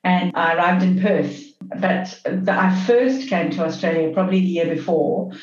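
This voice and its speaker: A woman in her fifties from Zimbabwe, telling her story as a podcast guest in a reflective, halting way with many restarts. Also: lowish voice, a little mellow, soft background music. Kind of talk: casual interview storytelling. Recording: echoey, dull lo-fi sound.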